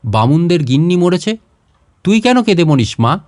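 A man's voice: a little high, big pitch swings, normal speed, darkish tone, no room sound, studio quality. A man voicing a hungry, impatient fourteen-year-old village boy in a storybook, blunt and complaining, with speech. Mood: annoyed, dismissive.

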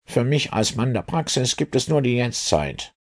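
A man voicing a practical, impatient male police inspector with brisk, matter-of-fact delivery in an audiobook. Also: mid-range voice, sing-song. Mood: impressed, curious. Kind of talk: audiobook character dialogue.

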